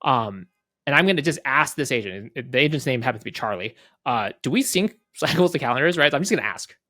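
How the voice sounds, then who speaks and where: A man casually walking through a software demo off the cuff, conversational, often checking in with tag questions and voicing little exchanges. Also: medium pitch, animated, fast, dry recording, clean studio sound. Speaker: guest product leader giving a software demo. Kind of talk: casual off-the-cuff product walkthrough.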